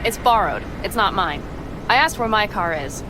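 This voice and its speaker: Woman playing a hotheaded nomad girl from a game, snappy, pitch all over, noisy behind her. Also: animated, moderate pace, close to an everyday voice. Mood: angry, demanding. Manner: sharp, confrontational. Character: hot-tempered, defiant young nomad woman. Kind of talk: video game cutscene acting.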